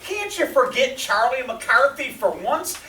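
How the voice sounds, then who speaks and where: A woman playing a brash, excitable working-class radio listener in a stage radio drama, blunt and folksy. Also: high-pitched, measured pace, heavily acted. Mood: irritated, blunt. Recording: distant, echoey stage recording, faint noise.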